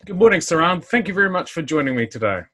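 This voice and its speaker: A man's voice: a little high, very animated, quick pace, fairly bright tone. A man asking questions as the interviewer in a conversational interview. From outside the United States.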